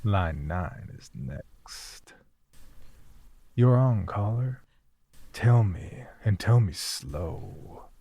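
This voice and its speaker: An actor playing a flirtatious late-night radio DJ, smooth-talking and seductive, with teasing, breathy half-whispers. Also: male voice, low voice, lively intonation, drawn-out words, long pauses, warm tone, no echo, exaggerated voice. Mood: sultry, teasing.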